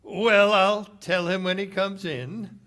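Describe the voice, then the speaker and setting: An actor playing a cynical, guarded saloon owner with a dry, sardonic manner, in 1940s-style radio theater. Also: male voice, high-pitched, fairly even intonation, slow pace, a bit bright. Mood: wry, guarded. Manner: dry, sardonic, clipped replies.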